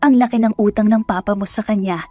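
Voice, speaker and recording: A woman's voice: mid-range voice, fairly even intonation, fast talker, warm tone, no room sound, soft background music, lightly acted. Woman reading as an insistent, firm mother. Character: insistent mother pressing her daughter into marriage.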